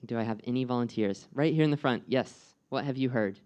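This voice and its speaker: A man's voice: medium pitch, fairly quick, a little mellow, smooth, dull audio. A young American man giving a live presentation, engaging the audience with questions in a friendly way. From United States. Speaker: guest speaker and program coordinator.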